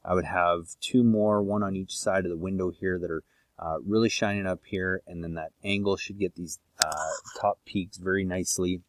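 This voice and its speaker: A Canadian man explaining a landscape lighting plan in a relaxed, casual way, thinking aloud with many fillers. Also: low-pitched, moderate pace.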